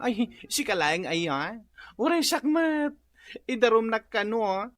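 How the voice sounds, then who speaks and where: A woman's voice: a little high, expressive, takes it slowly, no echo, soft background music. A woman playing a brazen, flamboyant effeminate village peddler with an Ilocano accent, theatrical and exclamatory, in a comedy radio drama. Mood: pleading.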